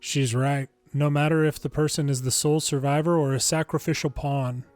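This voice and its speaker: A man's voice: fairly high pitch, fairly even intonation, a little fast, a little mellow, dry recording. A man narrating a video game story, reading scripted dialogue and text aloud. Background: faint game music.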